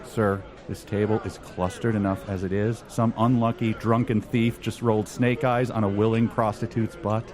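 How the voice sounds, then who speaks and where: A man's voice: on the low side, flat delivery, normal speed, few pauses, crowd noise, natural voice. A man voicing a fussy, rule-bound tavern attendant in a comedy sketch, officious, pedantic and mock-polite. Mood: pedantic, firm.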